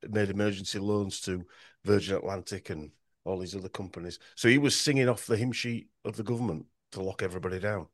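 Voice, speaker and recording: A man's voice: low-pitched, fast talker, no room sound, studio quality. A man with a Yorkshire accent talking in a podcast conversation, rambling and restarting his sentences often. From Yorkshire, England. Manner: rambling with frequent restarts and stumbles.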